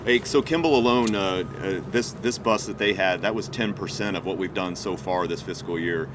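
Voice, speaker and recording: A Coast Guard captain answering reporters' questions outdoors, halting, with many fillers and restarts. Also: male voice, low voice. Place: outdoor press event. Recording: loud outdoor traffic noise.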